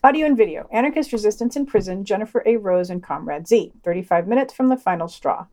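A woman's voice: medium pitch, lively intonation, quick pace, dark tone. A woman reading scripted podcast reviews as host, wry and opinionated, with casual asides.

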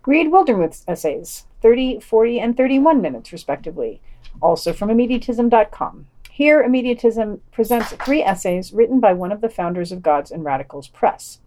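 A woman's voice: fairly high pitch, expressive, normal speed, mellow. A woman presenting a podcast, narrating scripted reviews of written pieces.

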